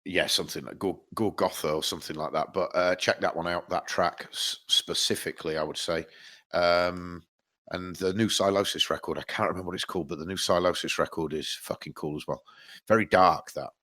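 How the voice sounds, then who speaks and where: A man's voice: low-pitched, fairly even intonation, brisk, pushed and bright. A man co-hosting a podcast, chatting casually; he is congested from hay fever, rambles, restarts his sentences and swears freely. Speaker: podcast co-host. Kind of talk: casual chat.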